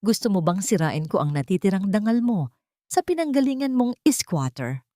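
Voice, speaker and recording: A woman narrator plays a domineering old Filipino mother-in-law in an audiobook drama. She is shrill, spiteful and threatening, giving orders as one used to being obeyed. The recording is dry. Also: sing-song, moderate pace, warm tone, no echo, close to an everyday voice. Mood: menacing, scornful. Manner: commanding, threatening.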